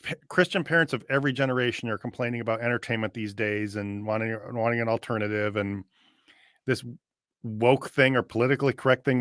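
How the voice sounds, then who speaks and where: Male commentator, mid-range and forceful, casually mocking, in a dry studio-like sound. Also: medium pitch, dry recording. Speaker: show co-host.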